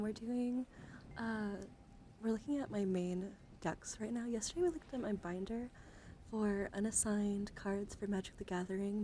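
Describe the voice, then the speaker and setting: A woman's voice: medium pitch, dark tone, slight background noise. A woman in her thirties chatting casually and teasingly as host of an ASMR livestream, speaking close to the mic.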